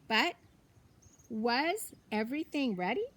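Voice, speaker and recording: High-pitched, slow-paced woman reading a storybook. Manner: deliberate, expressive storytelling with pauses. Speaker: teacher reading a story aloud. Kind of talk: children's storybook narration.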